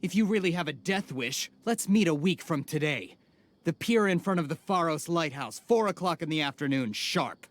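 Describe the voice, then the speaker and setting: A man's voice: fairly high pitch, animated, moderate pace, close to an everyday voice. A man playing a zealous, hot-tempered desert mercenary swindler in a video game, preachy, sneering and threatening. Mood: angry, threatening.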